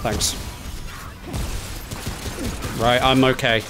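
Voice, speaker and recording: Man, mid-pitched voice, casual gaming callouts, blunt, teasing, sweary, clear game music in background. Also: level tone, extremely slow. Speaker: video game player in a co-op playthrough. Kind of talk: casual gaming chat, calling out play. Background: video game music.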